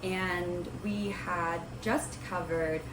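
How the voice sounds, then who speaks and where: A young woman hosting a Bible study vlog, a little raspy from a cold, chatty and rambling with many ums and likes. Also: on the low side, fairly even intonation, drawn-out words. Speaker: host of a Bible study video series. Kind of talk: casual talking-to-camera vlog. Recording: roomy with clear background noise.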